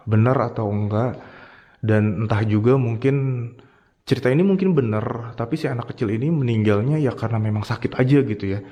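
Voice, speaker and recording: A man from Jakarta with a Jakarta accent, casually telling a spooky local story as a ghost-hunting vlogger inside a hospital morgue at night, dropping to a hushed whisper at noises. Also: mid-range voice. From Jakarta, Indonesia.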